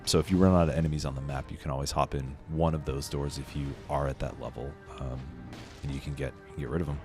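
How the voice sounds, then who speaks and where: Game streamer, man, very deep voice, a bit hoarse and sniffly, relaxed chatty delivery. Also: fast. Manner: laid-back, chatty, lots of fillers. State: slightly hoarse and sniffly from a cold. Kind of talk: casual live-stream commentary. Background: faint game music.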